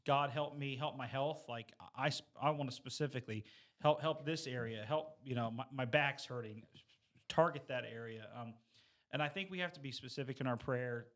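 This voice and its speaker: A man's voice: mid-range voice, moderate pace, a bit bright. A middle-aged man in a casual faith discussion, speaking in an earnest, plain-spoken way and repeating points for emphasis.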